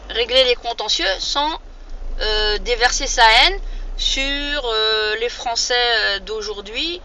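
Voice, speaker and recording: A woman, giving an informal, emphatic opinion monologue to viewers, often halting and repeating phrases to drive a point home. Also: fairly high pitch, sharp tone. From France. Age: 40s. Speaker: vlogger addressing viewers. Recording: muffled lo-fi audio with faint noise.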